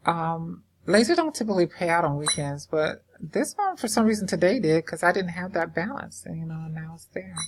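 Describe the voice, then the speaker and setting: A woman with a low voice and a Southern American drawl talks through her screen in a loose, rambling way, full of fillers and restarts. She sounds informal and unscripted, close to a desktop mic. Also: lively intonation, a little fast, few pauses, a little hiss or hum. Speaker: crypto investing vlogger. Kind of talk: casual screen-share walkthrough. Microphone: desktop microphone.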